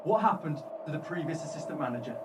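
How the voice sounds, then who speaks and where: A man's voice: a little high, lively intonation, fast, lightly acted. A man acting an urgent, distant-sounding hotel insider. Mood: grave, urgent. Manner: grave, insistent. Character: fearful, urgent hotel insider warning of hidden danger. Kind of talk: radio play acting. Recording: distant mic, noisy outdoor ambience.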